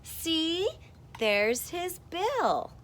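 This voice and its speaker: A woman voicing an eager storyteller who insists the animal is a duck, in a squeaky, excited way. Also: very slow, slight background noise, exaggerated voice.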